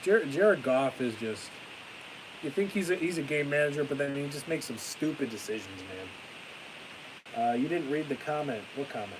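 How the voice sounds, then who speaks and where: A young man chatting on a live stream with a stuffy nose and a fading voice, ranting excitably and then trailing off into tired mumbles. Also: mid-range voice, normal speed. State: stuffy-nosed, losing his voice. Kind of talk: casual live stream chat. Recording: hissy home stream mic.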